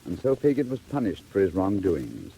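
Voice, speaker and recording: A man narrating a classic story in a theatrical, measured 1940s storybook style. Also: low voice, wildly swooping pitch, vocal fry, dark tone, slight background noise, heavily acted, poor audio.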